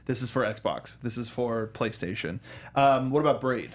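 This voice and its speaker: A man's voice: mid-range voice, expressive, moderate pace. A man co-hosting a casual talk show, chatting in stop-start bursts with repeated words and slipping into mimicked quotes as he tells things. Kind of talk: casual film and video game discussion.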